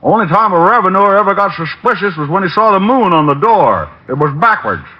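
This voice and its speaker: Bouncy, drawling backwoods hog farmer, sing-song and a touch high — dull vintage radio sound. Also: male voice, very animated, fairly quick. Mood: folksy. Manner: drawling backwoods talk, put on as a disguise. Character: boastful bumpkin hog rancher, man, folksy. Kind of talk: 1950s radio drama acting. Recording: dull old radio broadcast.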